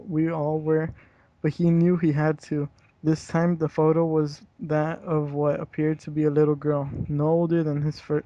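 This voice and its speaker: A man's voice: on the high side, mellow, dry recording, lo-fi sound. A young man doing an amateur horror story reading among friends, tripping over words and dropping into casual, sweary slang. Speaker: reader of a scary internet story.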